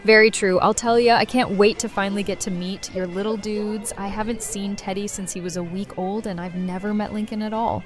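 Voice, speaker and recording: A woman's voice: mid-range voice, steady tone, fairly quick, hardly any pauses, soft background music, natural voice. A woman playing a brash, affectionate, wisecracking best friend in a conversational audio drama. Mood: wry, friendly.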